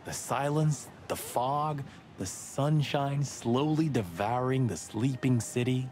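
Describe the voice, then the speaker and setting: Male actor as sardonic rooster detective sidekick, wisecracking, medium pitch, noir game, clear background music. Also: slow, a little mellow, natural voice. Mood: wry. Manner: sardonic, dry, talkative. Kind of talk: film-noir game voice acting.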